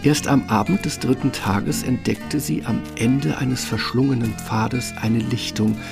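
A man's voice: medium pitch, fairly even intonation, measured pace, gravelly, over music. A man narrating a fairytale radio play as a scripted storyteller.

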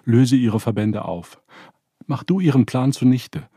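A man's voice: mid-range voice, a little slow, dark tone, husky, dry recording, studio quality, pitched above the performer's own voice. A man reading aloud, voicing Anu, an elder male god, shaken and apologetic, speaking in a subdued manner.

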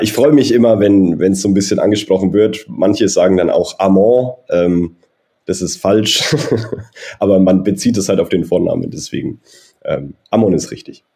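A man's voice: sits low, warm tone. A man in his thirties, a golf coach, answering interview questions in a friendly, rambling way with many fillers. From Germany (Nuremberg). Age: 30s. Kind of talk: interview answers, personal background.

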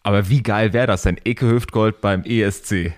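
A man's voice: lowish voice, normal speed. A man hosting a podcast, chatting casually and full of enthusiasm. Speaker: podcast host.

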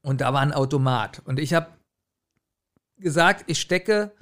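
Higher-voiced man telling casual anecdotes. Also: measured pace. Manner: animated storytelling, frequent chuckling. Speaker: podcast co-host. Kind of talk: casual chat, personal anecdotes.

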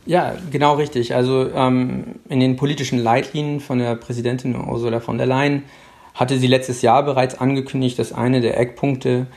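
A middle-aged man from Hamburg, a guest speaking over a phone line, answering in a halting way with many fillers. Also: mid-range voice, little pitch movement, a little mellow. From Hamburg, Germany. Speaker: guest, policy official. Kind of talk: interview answers.

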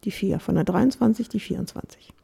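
A woman's voice: mid-range voice, fairly quick, creaky, warm tone, husky. A woman co-hosting a podcast in casual chat, agreeing often and making playful asides. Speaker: podcast co-host.

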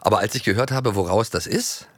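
A man chatting casually as a podcast host, telling anecdotes in an animated, stumbling way and often interrupting himself. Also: on the low side, fairly quick, no echo. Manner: animated, stumbling and self-interrupting, acts out remembered lines.

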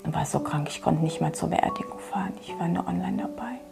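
A middle-aged woman gives a personal interview with a gravelly texture to her voice. She is candid and reflective, pausing and restarting as she searches for words. Clear background music runs beneath her. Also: on the low side, whispered. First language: German. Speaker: interviewee, children's ministry worker. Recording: music playing underneath.

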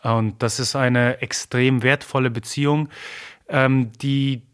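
Polish-native guy in an interview, earnest and emotional, lots of ums and restarts, middle-pitched voice. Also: steady tone, clear, resonant tone. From Germany. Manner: earnest, halting, many fillers and repeated words. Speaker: interview guest. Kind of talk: personal interview talk.

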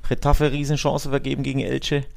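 A man's voice: medium pitch, monotone, a little fast, creaky, a little mellow, no room sound. A man casually discussing football as a podcast co-host.

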